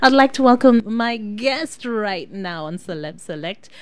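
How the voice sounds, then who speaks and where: A woman's voice: on the high side, animated, a little slow, clear, resonant tone, no room sound. A woman hosting a radio interview in a studio, asking questions in a playful, teasing way. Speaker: radio show host. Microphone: studio mic with headphones.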